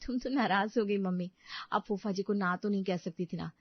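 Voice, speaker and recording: A woman's voice: mid-range voice, fairly quick, slightly rough, no echo, highs cut off, close to an everyday voice. A woman voicing a dutiful, emphatic young daughter-in-law in a family drama. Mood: reassuring. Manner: earnest, emphatic.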